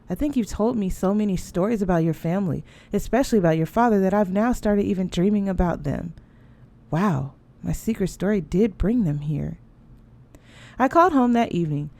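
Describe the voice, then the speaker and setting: A woman reading a novel aloud, narrating and giving the characters lively voices that rise high in their dialogue. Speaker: audiobook reader.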